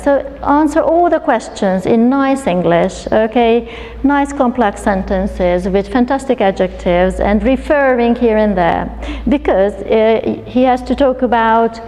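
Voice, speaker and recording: Give me a woman presenting a coursebook, mellow tone, long reverb of a lecture hall. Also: a little high. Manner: many restarts and fillers, explaining to a room. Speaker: educational consultant presenting a coursebook. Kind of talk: live presentation to teachers.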